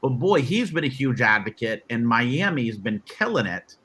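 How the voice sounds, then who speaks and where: A man's voice: mid-range voice, sing-song, moderate pace, fairly bright tone, husky. A man hosting a webinar panel, talking loosely with many restarts. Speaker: webinar host, moderator. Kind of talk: casual panel moderation.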